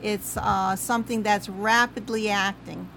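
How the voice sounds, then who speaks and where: A woman's voice: medium pitch, fairly even intonation, a little slow, creaky, a bit bright, a little hiss or hum. A woman hosting a live interview, asking friendly, encouraging questions over a video call. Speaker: host.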